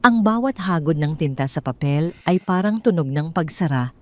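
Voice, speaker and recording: A woman's voice: deep voice, animated, moderate pace, darkish tone. A woman narrating a scripted audio drama story in a dramatic storytelling style.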